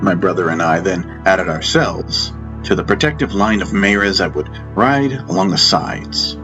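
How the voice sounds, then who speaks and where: A man's voice: low-pitched, animated, normal speed, dull audio. A man reading a first-person fantasy story as scripted audiobook narration. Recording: music bed behind narration. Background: background music.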